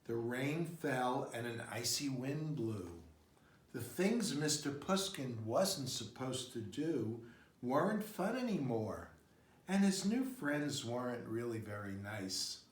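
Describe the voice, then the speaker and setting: Guy reading a kids storybook out loud, takes his time, medium pitch, echoey room. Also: very animated, takes it slowly. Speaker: storybook reader. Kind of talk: expressive read-aloud children's storytelling. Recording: roomy, echoing sound.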